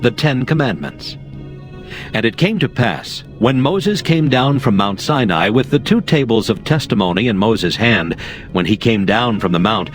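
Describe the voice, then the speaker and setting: Steady male scripture narrator. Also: medium pitch. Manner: steady, formal storytelling. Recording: soft music underneath.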